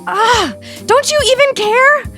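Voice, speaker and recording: A woman's voice: very high voice, animated, slow, bright, forceful tone, soft background music, exaggerated voice. A woman playing an earnest, pushy teenage student journalist in an audio drama, with an insistent, sassy manner. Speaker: voice actor.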